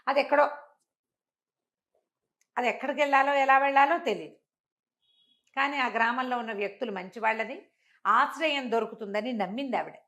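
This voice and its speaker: A woman's voice: on the high side, normal speed, pauses often, fairly bright tone. Woman telling a folk tale conversationally. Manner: explanatory, uses repetition and acts out dialogue in passing. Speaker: storyteller. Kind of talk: conversational oral storytelling of a moral folk tale.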